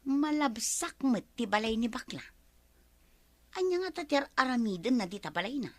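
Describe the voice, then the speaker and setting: A woman acting a nosy, excitable village gossip in an Ilocano radio comedy drama, exclamatory, whiny and melodramatic. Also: a little high, moderate pace. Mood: indignant.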